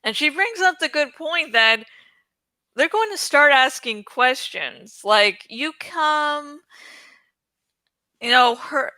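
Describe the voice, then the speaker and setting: A woman's voice: sits high, expressive, takes it slowly, long pauses, pushed and bright, no room sound. A woman host chatting in a rambling, informal, giggly way about a book, swearing freely. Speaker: host of a book read-along channel.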